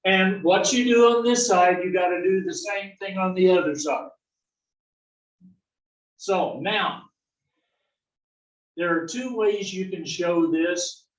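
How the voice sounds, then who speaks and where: A man's voice: sits high, slow pace, long pauses. A man from the south-central US teaching an algebra class, folksy and fond of corny jokes, often checking in with "right?". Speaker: algebra instructor. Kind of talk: classroom lecture. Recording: echoey classroom, distant mic.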